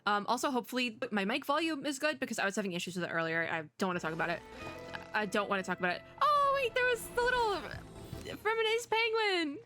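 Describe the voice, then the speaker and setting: A woman giving casual, excited reaction commentary as a streamer, rambling, gushing and often cutting herself off. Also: high voice, quick pace. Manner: rambling, gushing, self-interrupting. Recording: music playing behind the voice. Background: game trailer music.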